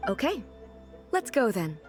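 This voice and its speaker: Game ruler: high, lively intonation, regal and formal, calm, curious, earnest. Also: female voice, music in the background. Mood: composed, curious. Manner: measured, formal, deliberate phrasing. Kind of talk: video game voice acting.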